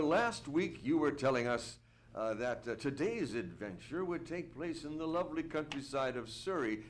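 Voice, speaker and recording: A man playing an affable, easygoing radio announcer and sponsor pitchman in a 1940s style, with folksy sales patter. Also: slight background noise, close to an everyday voice. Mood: cozy, chatty.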